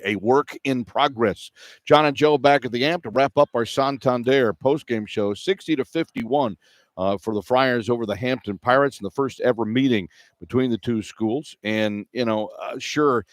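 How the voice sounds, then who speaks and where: A man's voice: mid-range voice, no room sound. A middle-aged or older man works as a play-by-play host on sports radio. He delivers postgame commentary in a mid-range voice, recorded dry with very little room around it. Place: indoor arena broadcast position.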